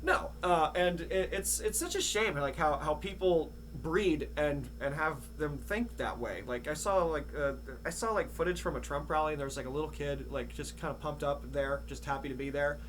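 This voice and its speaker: A man's voice: on the high side, moderate pace, hardly any pauses, a bit bright. A man chatting casually as a podcast host in a rambling, laid-back, profane way. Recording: clear hum and background noise.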